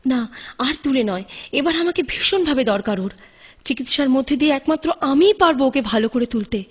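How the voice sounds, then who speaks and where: A woman's voice: sits high, lively intonation, brisk. A woman acting a devoted young carer in an audio drama, speaking in a tender, coaxing and impassioned manner. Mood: grave, resolute. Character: devoted young woman caring for her addicted beloved.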